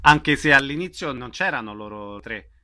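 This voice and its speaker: A man's voice: mid-range voice, expressive, normal speed, background noise. A man working as a radio interviewer, putting questions in a conversational way.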